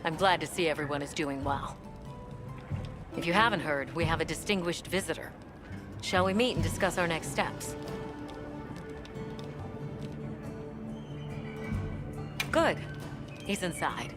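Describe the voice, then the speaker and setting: A woman's voice: deep voice, normal speed, pauses often, over music, close to an everyday voice. An actress playing a dutiful veteran female monster hunter leader in a video game, speaking with formal, resolute composure. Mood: composed, respectful. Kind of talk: video game cutscene voice acting.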